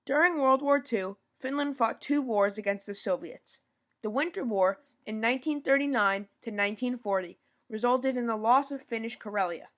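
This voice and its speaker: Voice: medium pitch, a bit bright. A young podcast host giving a scripted informational rundown, now and then stumbling over foreign names and apologizing.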